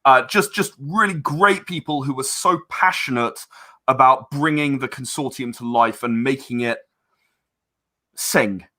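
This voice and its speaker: A man's voice: medium pitch, a little slow, bright, forceful tone. A man chatting casually as an interview guest, hesitant and self-correcting, earnest and polite. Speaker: interview guest, game publisher and audio drama creator.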